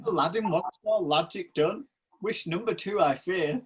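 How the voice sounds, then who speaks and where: A man playing an obliging pantomime genie, declaiming in rhyme with a husky voice. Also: high-pitched, normal speed, soft background music, natural voice. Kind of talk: rhyming amateur pantomime acting.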